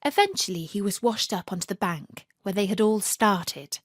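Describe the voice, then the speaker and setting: A woman storyteller narrating a scripted tale for children in a measured, expressive way. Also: mid-range voice, no echo, studio quality.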